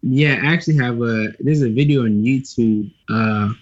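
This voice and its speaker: A man being interviewed as a musician, talking casually in a halting, thoughtful way. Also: mid-range voice. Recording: slightly dull, lo-fi sound.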